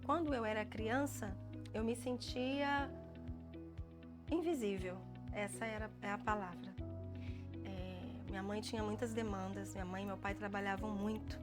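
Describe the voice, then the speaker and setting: A woman's voice: mid-range voice, fairly even intonation, normal speed, loud music behind the voice. A woman in her forties with a Brazilian Portuguese accent, a gospel singer sharing her testimony in an earnest, reflective way. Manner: soft-spoken, earnest, reflective. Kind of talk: personal testimony in an interview.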